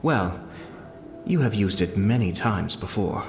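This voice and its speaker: A calm, sly schemer. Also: male voice, on the low side. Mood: composed. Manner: measured, formal, amused. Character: sly, composed man sharing secrets. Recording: roomy game voice-over with music.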